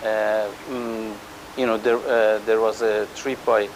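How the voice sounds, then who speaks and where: A man's voice: lowish voice, little pitch movement, takes it slowly, slight background noise, poor audio. A man moderating a panel, asking questions hesitantly with many filler sounds.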